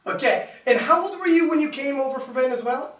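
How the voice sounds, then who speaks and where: A man's voice: extremely high pitch, rapid-fire, fairly bright tone, far from the mic. A young man from Canada hosting a casual live interview show, chatty and laughing often, full of fillers. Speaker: host. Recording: roomy sound, mic set back.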